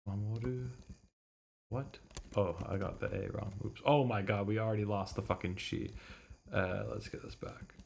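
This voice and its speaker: A man's voice: lowish voice, fairly even intonation, moderate pace, gravelly, darkish tone, a little hiss or hum. A man doing casual livestream commentary on a visual novel, thinking aloud in a muttering way and swearing casually. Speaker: streamer reading a visual novel.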